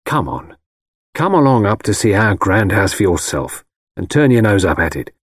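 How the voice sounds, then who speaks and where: A man's voice: on the low side, big pitch swings, moderate pace, no echo, studio quality. Man as a brusque, sardonic young son. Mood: irritated, sarcastic. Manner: sarcastic, brusque. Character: defensive, impatient young working-class son, sardonic with his mother.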